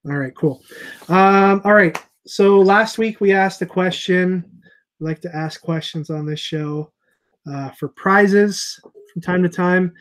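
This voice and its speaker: A man's voice: high voice, slow pace. A man, co-hosting a casual video show over a call from a small room on an earphone mic. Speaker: show co-host at a comic shop. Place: small room at home, indoors. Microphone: wired earphones on a video call.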